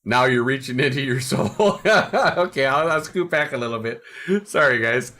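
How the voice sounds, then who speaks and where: A man streaming a game from his recliner at home, reading out viewer comments and answering them in a relaxed, rambling way with lots of fillers and restarts. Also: medium pitch, slow pace. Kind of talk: casual livestream chat, answering viewer comments. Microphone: desk mic, sometimes too far from it.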